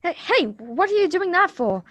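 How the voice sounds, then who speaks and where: A woman's voice: very high-pitched, big pitch swings, moderate pace, fairly bright tone, dry recording, exaggerated voice. A woman reading the part of a nervous, evasive teenage schoolgirl in a radio drama, hesitant and apologetic. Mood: flustered.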